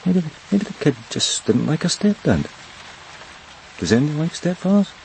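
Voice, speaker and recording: An actor playing a dependable, world-weary police detective in a radio crime drama, matter-of-fact and cynical. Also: male voice, on the high side, big pitch swings, moderate pace, creaky, darkish tone, a little hiss or hum, poor audio. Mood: cynical, resigned.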